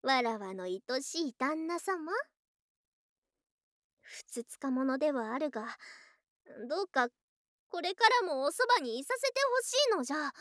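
Devoted lamia wife, high girlish voice, slow, coy old-fashioned speech, excitable, ASMR voice drama. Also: no room sound, clean studio sound, exaggerated voice. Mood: tender, earnest. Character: affectionate female lamia snake-spirit wife, girlish yet old-fashioned. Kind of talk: Japanese ASMR situation voice drama.

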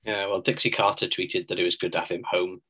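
A man co-hosting a podcast talks in a low voice with a bright, forceful edge. He reasons through points in a measured, thoughtful way. The tone stays relaxed and conversational. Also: husky. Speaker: podcast co-host. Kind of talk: casual chat.

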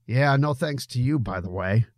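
A man's voice: mid-range voice, expressive, normal speed, no room sound, studio quality, natural voice. A man playing a good-hearted, concerned young man with an earnest, plain-spoken manner in an audiobook story. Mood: probing.

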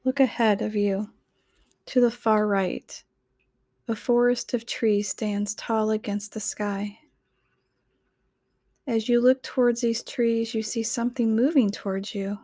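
A woman reading a scripted guided meditation in a soothing, gentle, measured manner. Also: fairly high pitch, steady tone, no room sound, clean studio sound.

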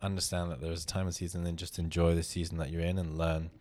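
Very deep-voiced man, rambling casual chat. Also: level tone, quick pace, darkish tone. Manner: rambling, self-correcting, laughs often. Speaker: podcast co-host. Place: London.

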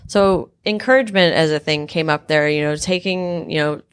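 A woman's voice: low voice, moderate pace, clean tone. A woman hosting a panel discussion, curious and affirming in a conversational way. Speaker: host.

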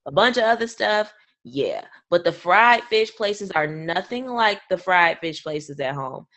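A young woman from Grand Rapids co-hosting a podcast in casual chat, her voice going hoarse. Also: fairly low pitch. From Grand Rapids, Michigan. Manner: animated, laughing, slangy. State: losing her voice. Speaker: podcast co-host.

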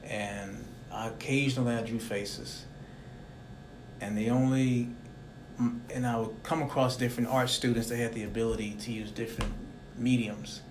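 A man's voice: medium pitch, steady tone, moderate pace, background noise. A young man hosting a casual interview, talking in a rambling, reflective way. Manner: rambling, reflective, soft-spoken.

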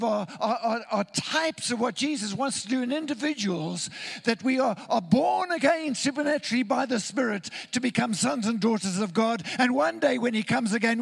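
A man from Zimbabwe, preaching a sermon in an earnest, deliberate way with emphatic repetition. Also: extremely high pitch, gravelly, husky.

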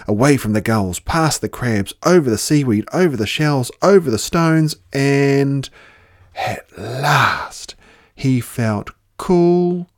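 A man reading a children's story aloud with expressive delivery and drawn-out, dramatic emphasis. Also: mid-range voice, no echo, clean studio sound.

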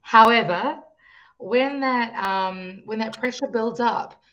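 A woman's voice: a little high, slow, fairly bright tone, muffled recording. A woman with a New Zealand accent telling her own story as an interview guest, explaining things articulately. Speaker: podcast guest, musician and youth coach. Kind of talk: conversational personal storytelling in an interview.

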